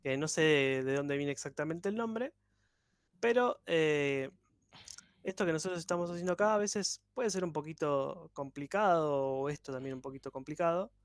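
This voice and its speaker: Fairly high-voiced man explaining. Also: moderate pace, clear, resonant tone, no echo, clean studio sound. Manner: patient step-by-step explaining. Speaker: programming instructor. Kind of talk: online workshop teaching.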